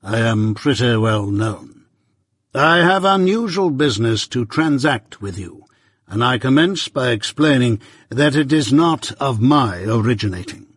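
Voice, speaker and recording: A man playing a stern, imperious middle-aged London lawyer who speaks slowly and with command. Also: mid-range voice, expressive, a bit bright, husky, no room sound. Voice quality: slow, measured, commanding.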